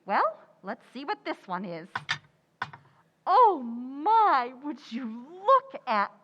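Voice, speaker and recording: A woman's voice: high voice, very animated, slow, dull audio, background noise, exaggerated voice. A woman playing a frazzled, sly young housewife in a 1940s-style radio comedy, with theatrical delivery. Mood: delighted, grateful. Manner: theatrical, exaggerated.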